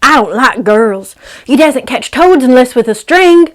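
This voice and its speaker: A woman's voice: high-pitched, lively intonation, a little slow, pitched above the performer's own voice. A woman voicing a bold, boastful ten-year-old boy in a story reading, blurting out chatter with childish grammar. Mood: excited, chattering.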